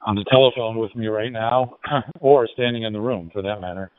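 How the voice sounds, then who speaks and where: A man chatting casually as an amateur radio operator, halting and polite, spelling out call signs phonetically over a narrowband digital radio link. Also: fairly low pitch, dry recording.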